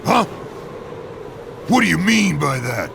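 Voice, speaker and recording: An actor playing a brutish, hot-headed, arrogant boxer in a fighting game dub, with gravelly, crude, blustering delivery. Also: male voice, on the high side, big pitch swings, slow, background noise, full character voice. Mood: irritated. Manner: crude, aggressive, blustering trash talk. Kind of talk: English-dubbed fighting game voice acting. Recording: loud music under the voice.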